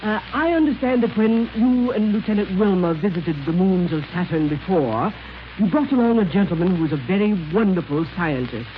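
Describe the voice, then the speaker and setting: Humble, eager alien ruler, lively pitch. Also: male voice, fairly quick. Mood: curious. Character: deferential, trusting male ruler of a Saturn moon. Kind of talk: 1930s radio serial acting. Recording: dull, hissy 1930s radio recording.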